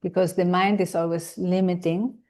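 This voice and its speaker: A woman's voice: lowish voice, little pitch movement, moderate pace, darkish tone. A woman from Switzerland hosting a podcast, enthusiastic and affirming in casual conversation. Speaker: podcast host.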